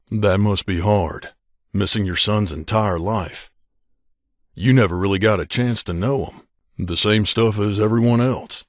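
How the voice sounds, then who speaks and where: Man acting as low-voiced, guarded wasteland mercenary. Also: no room sound, clean studio sound. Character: guarded young male mercenary companion in a post-apocalyptic wasteland, guilty, earnest. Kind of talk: video game companion dialogue, confiding.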